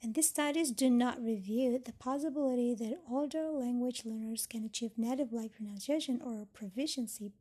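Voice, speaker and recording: A woman's voice: fairly high pitch, moderate pace, few pauses, mellow. A young woman from Indonesia, a native Indonesian speaker, talking thoughtfully about learning English and reading points from a handout. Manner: thoughtful, explaining, reads from a handout. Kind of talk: educational podcast talk.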